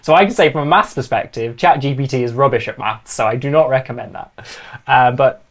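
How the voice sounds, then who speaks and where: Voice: medium pitch, fairly quick. A speaker hosting a live online question session for schools, reading out questions and chatting with the panel, laughing easily and using many fillers. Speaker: panel moderator.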